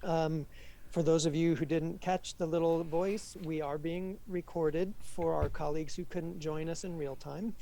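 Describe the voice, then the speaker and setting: Gravelly, mellow-voiced male moderator. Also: on the high side, a little slow. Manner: welcoming, relaxed, with frequent fillers. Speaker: session moderator. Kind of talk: introducing a conference session. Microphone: video call.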